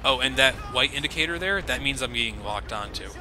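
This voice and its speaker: A man's voice: mid-range voice, expressive, brisk, noisy background. A man giving casual, sarcastic commentary over a video game, joking about its flaws. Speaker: let's play commentator.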